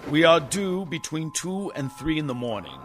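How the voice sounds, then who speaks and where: A man's voice: on the high side, big pitch swings, normal speed, over music. An actor playing an earnest, anxious young man narrating his journal in a radio play. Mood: tense.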